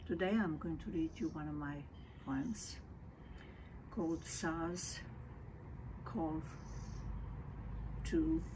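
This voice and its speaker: An older woman from Serbia, reading poetry in measured, deliberate phrases. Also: sits low. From Belgrade, Serbia. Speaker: poet. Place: outdoors in a leafy garden. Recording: noisy outdoor ambience.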